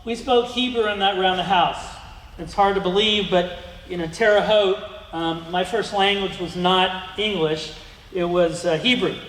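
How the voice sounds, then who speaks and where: A man's voice: high-pitched, a little hiss or hum. Middle-aged man lecturing in an echoey hall. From United States. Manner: rambling, many ums and restarts. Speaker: guest speaker. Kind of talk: museum lecture with slides. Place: museum lecture room. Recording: echoey hall with long reverb.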